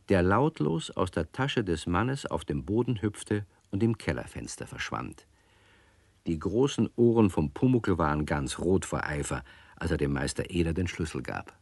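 An actor playing a lively storyteller, narrating a scripted children's radio play to young listeners. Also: male voice, low-pitched, dry recording, heavily acted. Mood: storytelling, suspenseful.

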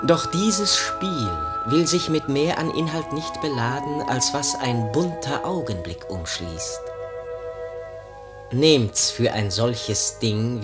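The solemn epilogue of a classic radio verse play. He recites slowly in a medium register, formal and declamatory, with music clearly behind him. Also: mid-range voice. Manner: declamatory, measured. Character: solemn male epilogue speaker addressing the listeners. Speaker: speaker of prologue and epilogue.

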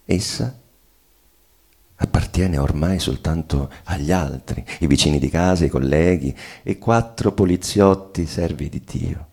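A tormented bourgeois husband in a dramatic play reading. The voice is very deep, husky and full of fry, declaiming solemnly and bitterly with weighted pauses. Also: male voice, a little mellow, full character voice. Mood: bitter, accusing. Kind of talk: theatrical monologue reading of a stage play.

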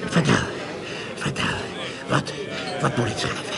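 A man's voice: unhurried, crowd noise, natural voice. An actor playing a lecherous, drunken conjurer and swindler who stammers and repeats his words in a Dutch radio play. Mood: lustful, slurring.

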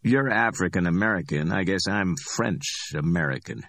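A man's voice: low-pitched, lively intonation, moderate pace, creaky, a bit bright, dry recording, exaggerated voice. A man voicing a matter-of-fact middle-aged bail bondsman.

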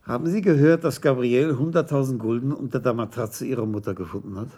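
An actor playing a calm, shrewd senior police commissioner in a radio crime drama, with measured, probing speech. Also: male voice, medium pitch, breathy edge, lightly acted. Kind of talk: 1980s German radio drama acting.

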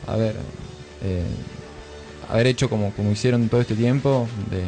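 A man's voice: fairly low pitch, warm tone. Argentine man talking politics, music underneath. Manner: halting, reflective, searching for words. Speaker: radio host. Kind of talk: casual chat, political commentary.

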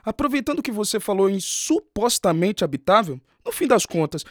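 A man's voice: sits high, sing-song, moderate pace, no echo. Man acting a curious brazilian teacher guy in a radio play, polite and easygoing, very dry sound. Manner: polite, inquisitive. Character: curious, agreeable male schoolteacher. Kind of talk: scripted conversational radio drama.

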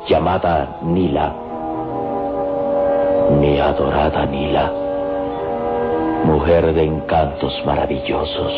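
Deep, slow, solemn Egyptian man. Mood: tender devotion. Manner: slow, declamatory, reverent delivery. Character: solemn, devoted Egyptian man in love, loyal to his people. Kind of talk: old radio serial acting. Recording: dull old radio recording with music. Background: prominent dramatic music.

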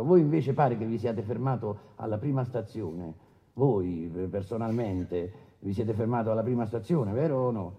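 A man's voice: mid-range voice, dark tone. An actor playing a brusque male presiding judge in a radio courtroom drama, formally and insistently questioning a defendant. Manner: insistent, interrupting, official.

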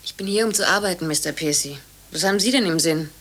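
An actress playing a brisk, sharp-tongued young woman scientist in a radio play, curt and direct. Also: lowish voice. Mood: indignant. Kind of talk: radio drama acting.

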